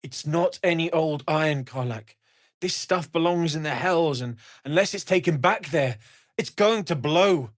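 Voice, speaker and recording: A man playing an earnest, kindly blacksmith in a video game, weary from surviving the hells, speaking with gentle, understated sincerity. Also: medium pitch, slightly rough. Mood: grave regret. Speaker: video game character actor. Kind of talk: acted video game dialogue.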